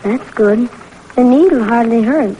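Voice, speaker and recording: A woman's voice: a little high, a little slow, warm tone, dull audio, close to an everyday voice. A woman playing a weak, sweet young boy in a 1940s radio drama, speaking simply and earnestly. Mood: weary, gentle. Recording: old radio recording with faint noise.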